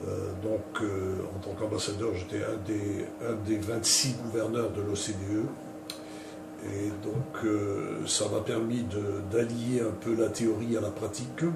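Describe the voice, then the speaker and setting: A man's voice: on the low side, flat delivery, a little slow. An older man from Canada introducing himself in unscripted talk, hesitating often with fillers and restarts. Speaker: interviewee. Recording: roomy and distant with clear noise.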